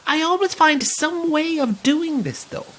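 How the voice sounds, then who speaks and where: An actor with an Irish accent playing a possessed coach tour guide, sinister, theatrical and taunting, in an audio drama. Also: male voice, very high voice, lively intonation, a little slow, fairly bright tone, heavily acted, poor audio. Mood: mocking.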